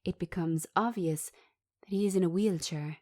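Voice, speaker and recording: A woman reading a script aloud as narrator, giving the stage directions in a measured, descriptive manner. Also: on the low side, darkish tone.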